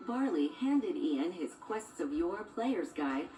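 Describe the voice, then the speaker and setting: A woman's voice: on the low side, big pitch swings, takes it slowly, warm tone. A woman reading a scripted children's storybook as a read-along narrator, with music behind her. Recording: roomy, distant sound with music underneath.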